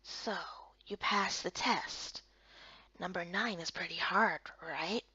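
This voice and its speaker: Woman putting on a low, murmuring flirtatious guard leader. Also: slow pace, sharp tone, husky, no room sound, lo-fi sound, heavily acted. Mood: sly, seductive.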